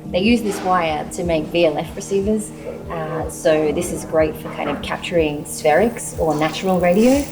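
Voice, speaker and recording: A woman's voice: on the low side, moderate pace, rough recording. A woman giving informal, chatty commentary as she works. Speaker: artist and workshop participant. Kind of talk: informal spoken commentary while working. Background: music behind the voice.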